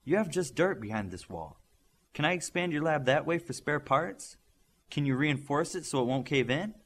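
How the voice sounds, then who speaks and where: A man voicing a practical adult female scientist in an audiobook, with a measured, precise, businesslike manner. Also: fairly high pitch, animated, pitched above the performer's own voice.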